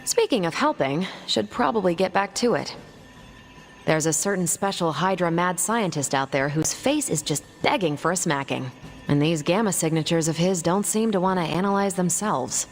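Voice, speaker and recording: A woman's voice: fairly low pitch, animated, normal speed, soft background music. A woman voicing a confident, dryly witty female superhero captain in a video game, with a breezy, assured delivery. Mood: wry, upbeat.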